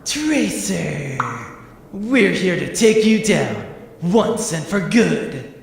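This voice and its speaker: A man's voice: sits high, very animated, extremely slow, vocal fry, heavy reverb, big-room sound, slight background noise, exaggerated voice. A man playing a sarcastic, combative space-marine sergeant in a sci-fi audio drama, blunt and commanding. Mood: confrontational.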